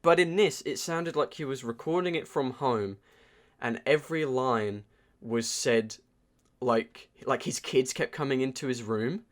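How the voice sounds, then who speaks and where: A man's voice: medium pitch, measured pace. Casual, sweary male podcast co-host. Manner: profane, excitable fan talk. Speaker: podcast co-host reviewing films. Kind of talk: casual chat.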